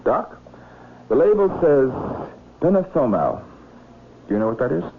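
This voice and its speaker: An actor playing a cool, methodical male investigator with a measured, probing manner, in vintage radio drama style. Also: medium pitch, sing-song, vocal fry. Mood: probing, firm. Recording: phone-like, noisy vintage radio recording.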